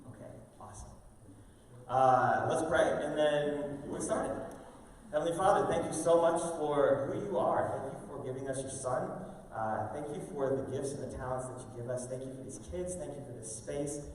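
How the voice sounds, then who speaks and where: A man hosting a live show, giving an informal, halting welcome speech to an audience with drawn-out pauses. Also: mid-range voice, darkish tone. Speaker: host, school fine arts director introducing a show. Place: large indoor room with stage and audience tables. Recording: very echoey hall, distant mic, faint noise.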